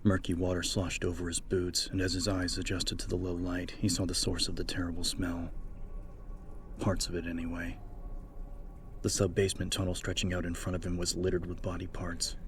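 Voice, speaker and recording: Male narrator, very low pitch, flat steady delivery, dramatic horror audiobook. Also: moderate pace, noisy background.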